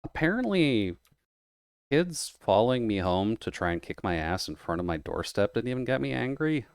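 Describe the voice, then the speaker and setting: A man in casual chat while gaming, talking quietly with a low-energy, mumbled delivery over a voice call. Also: very animated, moderate pace, creaky, clean tone, dry recording, studio quality. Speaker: streamer's guest playing a game.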